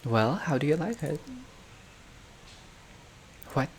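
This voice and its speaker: A gamer with a creaky, medium-pitched voice reads a visual novel aloud. The delivery is halting, moving between narration and offhand comments to self. There is noise behind the voice in what sounds like a homemade recording. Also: expressive. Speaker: gaming video creator playing a visual novel. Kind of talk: reading game text aloud with casual reactions. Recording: clearly noisy home recording, unstable audio.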